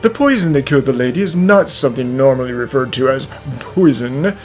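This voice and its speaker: A theatrical genius detective played by a man, supremely confident and grand, with a lively, swooping delivery. This is cartoon mystery dubbing, set over music. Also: medium pitch, brisk, natural voice. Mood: accusing, triumphant. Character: theatrical, self-assured male genius detective. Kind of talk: animated mystery fan dub.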